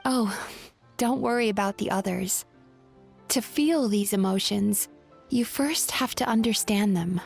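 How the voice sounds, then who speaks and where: A woman voicing a gentle young dream-eating spirit who works as a psychologist in a video game, composed and explanatory. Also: medium pitch, unhurried, creaky. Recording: game music behind. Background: background music.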